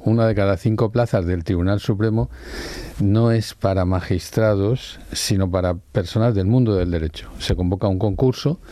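Senior male jurist in his sixties, Valencian first language, deep mellow tone, measured explainer. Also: no room sound. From Spain. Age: 60s. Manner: measured, explanatory, pauses to rephrase. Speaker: Supreme Court judge and law professor. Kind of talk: reflective interview answers.

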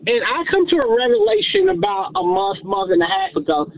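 A man's voice: extremely high pitch, huge pitch swings, a little fast, gravelly, slight background noise. A man in his fifties calling in to a radio show, chatty and enthusiastic. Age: 50s. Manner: enthusiastic, chatty, tells stories. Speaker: caller. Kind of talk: phone-in chat. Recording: tinny phone line.

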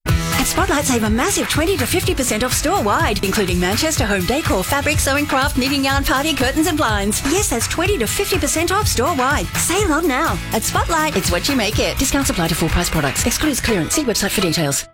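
A woman reading an upbeat scripted sale advertisement with a sales-pitch energy. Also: sing-song, brisk, music in the background. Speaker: advertisement voice-over.

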